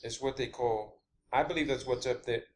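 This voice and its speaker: A man hosting an informal theorizing monologue, speaking in a halting, repetitive and emphatic way with blunt wording. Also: mid-range voice, slight background noise. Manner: blunt, swearing. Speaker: video host.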